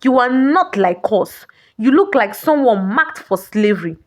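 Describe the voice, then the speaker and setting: A woman storyteller acts out cruel young village brothers from a folktale. The voice is fairly high and animated. The delivery is scornful and taunting. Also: unhurried.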